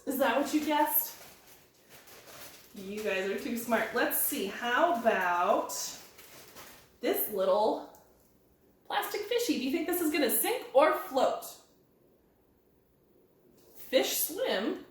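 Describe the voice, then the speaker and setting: A woman's voice: sits high, big pitch swings, takes it slowly, pauses often. A woman leading an interactive children's storytime, speaking in a sing-song, encouraging way and putting questions to young listeners. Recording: very echoey, roomy sound.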